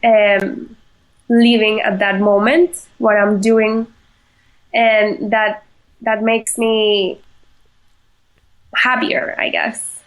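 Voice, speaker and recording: A young woman from Venezuela, a chef answering interview questions in a halting way, with many fillers and restarts. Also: medium pitch, flat delivery, poor audio. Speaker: chef, podcast guest.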